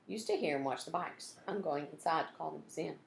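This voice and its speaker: Lady doing a quick smart girl detective from a kids book, bossy n urgent, roomy echo. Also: low voice, expressive, gravelly. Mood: confident. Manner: urgent, decisive. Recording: roomy echo, some background noise.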